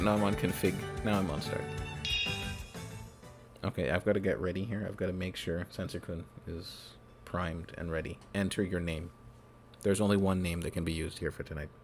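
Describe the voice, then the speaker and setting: A male game streamer chats casually and playfully with his viewers into a desk mic. His voice sits on the low side. Music plays clearly behind him. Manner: playful, jokey, chatty with his audience. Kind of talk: casual live-stream chat. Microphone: desk microphone.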